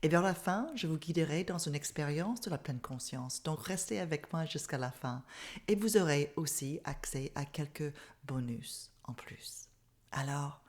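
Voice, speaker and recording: A middle-aged Canadian woman whose first language is English speaks with a noticeable English-speaking accent. Her voice sits low, and she explains in a calm, measured, soft-spoken manner, like a mindfulness teacher guiding a class. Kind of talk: online masterclass presentation.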